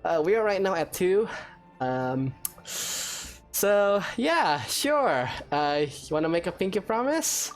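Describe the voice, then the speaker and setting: A man's voice: high-pitched, big pitch swings, slow. Rambling man commenting on a game. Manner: rambling, hesitant, musing. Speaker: gaming video host. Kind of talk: casual let's play commentary. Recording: music behind the voice.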